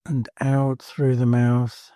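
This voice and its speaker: A man leading a guided meditation in a calm, soothing manner, leaving space between short phrases. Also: medium pitch, slow pace, dry recording, clean studio sound. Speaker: meditation teacher. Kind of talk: guided body scan meditation.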